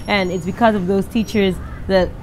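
A woman's voice: medium pitch, steady tone, normal speed, darkish tone, a faint crowd, slight background noise, rough recording. A young woman with a Guyanese accent, answering interview questions with confidence and frequent laughs. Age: young adult. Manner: confident, laughs often, speaks with emphasis. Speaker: singer and interview guest. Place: outdoor terrace by a balustrade. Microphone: handheld mic held by the interviewer.

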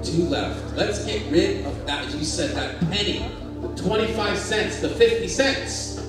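A man hosting a game show on a cruise ship stage, animated and jokey as he calls out the amounts. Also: sits high, a little slow. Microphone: handheld mic, far camera. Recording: echoey hall from far off, loud music.

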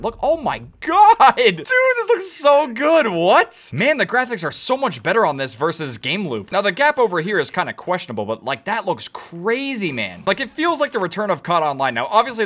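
Hyped young man shouting over gameplay. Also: sits high, a little hiss or hum. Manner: hyped, exclamatory, jumps between mutters and shouts. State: voice cracking, hoarse. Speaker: gaming YouTuber. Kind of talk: excited gameplay commentary. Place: home gaming setup, indoors. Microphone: headset.

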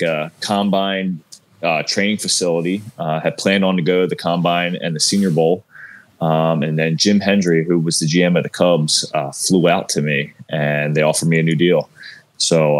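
A man in his thirties with a South Jersey accent, telling his story as a guest over a video call in a relaxed, rambling way. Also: low voice, moderate pace. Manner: relaxed, rambling, many fillers. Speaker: guest, former athlete. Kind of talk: casual interview storytelling. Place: room at home with shelves.

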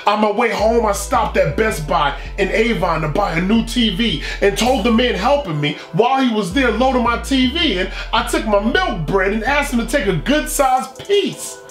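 A man's voice: high-pitched, animated, normal speed, few pauses, fairly bright tone, soft background music. A middle-aged man hosting a food vlog, reading reviews aloud with playful, emphatic commentary and repetition. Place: small furnished room indoors. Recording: echoey indoor room.

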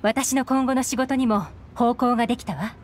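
A woman's voice: a little high, steady tone, moderate pace, a little hiss or hum, lightly acted. A woman voicing a shrewd, secretive young intelligence agent in an anime game, composed, knowing and slightly teasing. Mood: cool, calculating.

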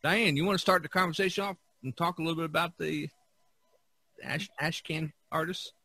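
A man hosting an informal art discussion, speaking haltingly and often repeating his words. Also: fairly high pitch, steady tone, bright, forceful tone, slightly rough, dry recording. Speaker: podcast host.

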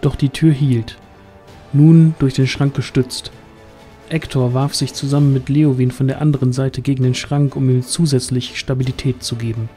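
Mellow male storytelling narrator. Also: medium pitch, steady tone, moderate pace. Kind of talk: scripted narration of fantasy audio drama. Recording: music underneath.

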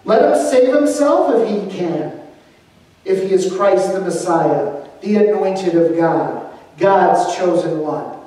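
Man voicing a sneering high priest, scornful, mocking, raised pitch, loud, echoey room. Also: lively intonation, slow pace, far from the mic.

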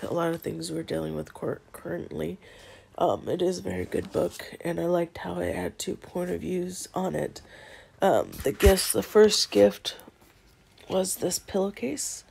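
Hoarse, congested woman with a gravelly voice. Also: unhurried, a little mellow. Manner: congested, low-key, rambling with many fillers. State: hoarse from a head cold. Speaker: book unboxing vlogger. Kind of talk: casual chat to camera. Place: bedroom, lying on a bed indoors. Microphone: phone held close at arm's length.

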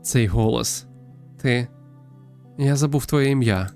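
Man playing sorrowful ghost teacher, creaky voice. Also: medium pitch, slow pace, darkish tone, soft background music. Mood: grieving, confused. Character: sorrowful male teacher's ghost, fond and weary. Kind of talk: visual novel character dialogue.

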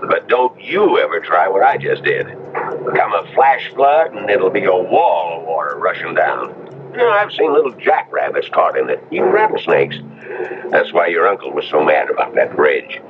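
An actor playing a folksy, easygoing Western local driver, plain-spoken and hesitant, in 1970s radio drama. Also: male voice, low voice, big pitch swings, normal speed. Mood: warning. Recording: noisy, tinny old radio recording.

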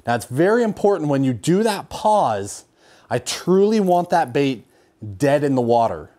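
Young guy hosting a fishing how-to from a garage, hoarse and a bit raspy from a cold, upbeat. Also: medium pitch. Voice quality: slightly raspy. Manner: energetic, explains with hand gestures. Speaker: fishing show host. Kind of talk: instructional talk to camera. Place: garage with fishing rods on the wall.

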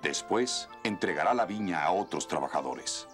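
An actor playing a solemn religious teacher telling a parable in a cartoon, speaking deliberately. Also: male voice, low voice, expressive, faint music behind. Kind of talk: dramatic cartoon dubbing.

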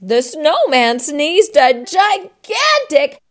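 A middle-aged woman narrates a children's storybook. Her voice is high and lively in melody, and she takes her time with each phrase as a storytime host. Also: very slow. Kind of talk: expressive children's storybook read-aloud.

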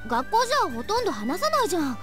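A friendly, candid teenage schoolgirl from an anime visual novel. Her voice is very high and swings in pitch. Music is clearly audible behind her. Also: huge pitch swings, very fast, exaggerated voice. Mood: wondering, slightly jealous. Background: game music.

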